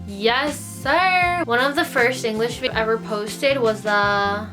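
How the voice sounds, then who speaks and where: A woman's voice: on the high side, a little slow. A young woman with an American accent chats casually and informally, thinking aloud as she answers viewers' questions in a vlog. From United States and Japan. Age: young adult. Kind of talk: casual Q&A chat to camera. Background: background music.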